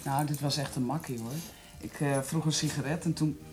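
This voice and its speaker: A woman's voice: low-pitched, fairly even intonation, fairly quick, darkish tone, a little hiss or hum, natural voice. A woman acting in a radio drama as a casual, self-satisfied police informer, chatty and offhand. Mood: smug, casual.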